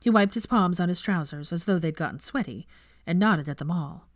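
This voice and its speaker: A woman narrating a story in an expressive audiobook reading style. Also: lowish voice, fairly quick, no echo, clean studio sound.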